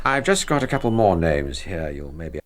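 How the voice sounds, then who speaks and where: A dry, probing interrogator. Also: male voice, low voice, big pitch swings, normal speed. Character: dry, probing male British intelligence interrogator. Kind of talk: radio drama acting.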